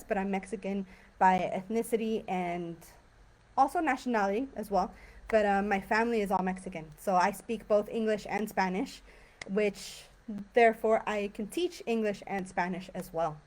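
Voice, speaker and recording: Woman, young, chatty, relaxed, filler-heavy, live-stream mic. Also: mid-range voice, normal speed. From San Diego and Tijuana. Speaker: English teacher. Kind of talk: casual live-stream talk.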